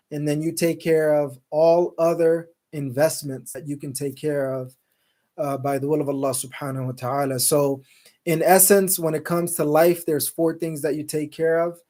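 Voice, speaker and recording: A man's voice: fairly high pitch, a little mellow. A man hosting a live call-in show, talking loosely with many fillers and restarts and sprinkling in Islamic phrases as he reads viewer comments. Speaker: show host. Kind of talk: casual live-stream chat reading comments. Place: Salt Lake City, Utah.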